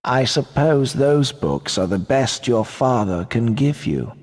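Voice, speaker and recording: A cold aristocratic wizard father in a video game. He speaks with slow, disdainful drawl and expressive pitch, ringing in a long reverb. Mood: contemptuous.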